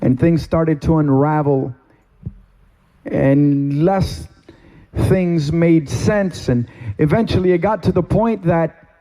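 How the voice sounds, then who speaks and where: A middle-aged man from Cuba, a pastor giving a sermon testimony from a stage, with slow, deliberate, emphatic phrasing. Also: on the high side, warm tone, rough recording. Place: stage in a meeting hall.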